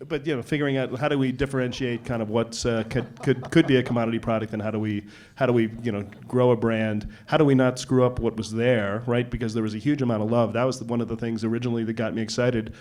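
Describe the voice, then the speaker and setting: A man speaking as an investor in a casual on-stage interview, halting and colloquial, often checking his point with the listener. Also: mid-range voice, fairly even intonation, darkish tone.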